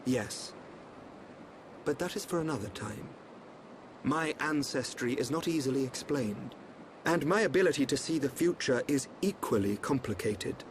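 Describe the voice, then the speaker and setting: A calm young royal seer from a fantasy game, acted by a man, speaks in a mid-pitched voice. He is formal and measured, choosing cryptic words as though he knows more than he tells. A faint noise sits behind him. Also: natural voice. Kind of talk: scripted video game cutscene acting.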